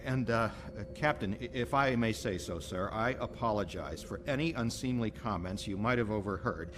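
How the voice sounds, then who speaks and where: An actor playing a gossipy, bigoted but deferential space navy commander in an audio drama, with a military manner. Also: male voice, lowish voice, background noise, close to an everyday voice. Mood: nervous, deferential. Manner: brisk military, smug with peers.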